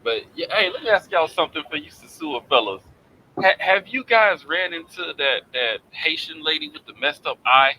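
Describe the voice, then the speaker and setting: A man's voice: on the high side, expressive, normal speed, pushed and bright, slight background noise, rough recording. A man in a casual group chat, telling loose stories full of restarts. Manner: loose storyteller, lots of "like" and restarts.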